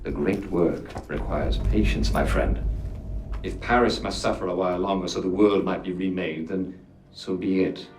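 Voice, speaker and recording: An actor playing a cold, scheming middle-aged grandmaster in a game cutscene, measured, deliberate and formal. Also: male voice, low voice, fairly even intonation, over music, close to an everyday voice. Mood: cold, composed. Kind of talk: dramatic video game cutscene acting.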